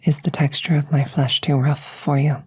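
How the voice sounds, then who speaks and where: Low, soft-spoken alien scientist played by a woman. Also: level tone. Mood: curious, probing. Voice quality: soft, breathy close whispering. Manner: measured, halting, formal, clinical phrasing. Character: female alien scientist, clinical, curious, calm examiner. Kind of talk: whispered ASMR audio roleplay. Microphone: close-up microphone.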